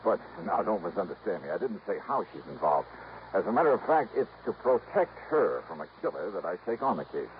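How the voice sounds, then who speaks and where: An actor playing a wry, relentless insurance investigator in a 1950s radio detective drama, brisk and pointed. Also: male voice, deep voice, very animated. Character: hard-boiled male freelance insurance investigator, wry, relentless. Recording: dull, noisy old radio recording.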